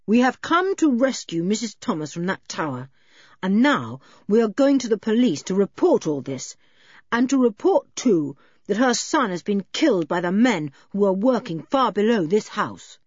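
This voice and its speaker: A woman's voice: medium pitch, sing-song, normal speed, mellow, breathy edge, no room sound, dull audio. A woman voicing a cool, determined and responsible boy in an audiobook, earnest and decisive. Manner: earnest, decisive, plain-spoken. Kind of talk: audiobook character voice.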